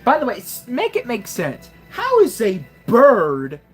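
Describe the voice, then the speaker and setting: A woman's voice: on the high side, huge pitch swings, a little slow, faint music behind. A woman in her twenties casually commenting on her gameplay during a live stream, wry and joking, often exclaiming to herself. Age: 20s.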